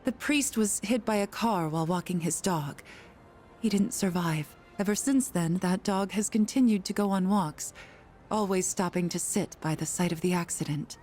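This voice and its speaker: A woman's voice: medium pitch, darkish tone, lightly acted. An actress playing a gossipy middle-aged neighborhood mother who chats and tells local stories. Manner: chatty, storytelling. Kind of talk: video game voice acting.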